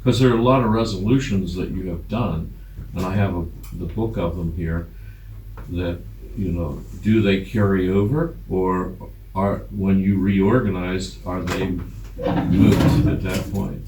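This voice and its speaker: An American man questioning a council at a public meeting, haltingly rephrasing his point. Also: low-pitched, fairly even intonation. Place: indoor council chamber. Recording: echoey room, distant mic, clear background noise.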